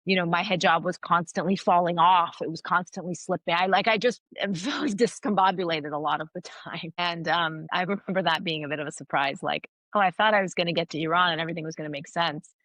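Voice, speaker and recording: A woman's voice: lowish voice, fairly even intonation, fast, hardly any pauses, a bit bright, no room sound. A middle-aged woman whose first language is Farsi, a guest giving earnest, thoughtful interview answers with frequent restarts. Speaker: guest, anthropologist and author.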